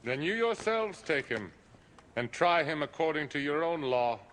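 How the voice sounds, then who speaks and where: An actor playing a commanding, questioning Roman governor in a dramatic film, speaking in a measured, deliberate way. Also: male voice, fairly high pitch, very animated, fairly bright tone, a little hiss or hum. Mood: probing, skeptical. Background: film score.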